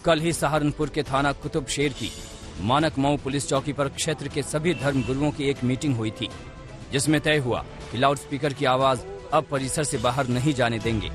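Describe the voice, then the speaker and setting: A man reporting a news story in a steady, formal voice-over. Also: medium pitch, normal speed. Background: faint music bed.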